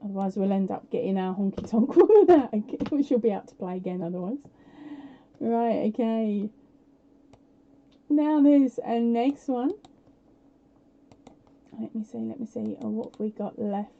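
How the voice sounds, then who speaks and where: A woman hosting a live prize quiz on her stream, chatty and self-deprecating with lots of fillers, her voice a little croaky. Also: on the high side, animated, moderate pace, long pauses, warm tone, slight background noise. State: slightly croaky voice. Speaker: podcast host running a live prize quiz. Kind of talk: casual live-stream chat. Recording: dull lo-fi home stream audio.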